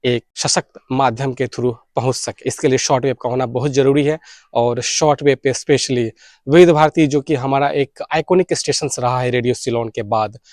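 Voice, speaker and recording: A man's voice: mid-range voice, steady tone, moderate pace, darkish tone, dry recording. Indian man, dry close sound, earnest appeal. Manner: Hindi mixed with English words. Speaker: YouTube host and radio enthusiast. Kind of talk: earnest campaign appeal to camera.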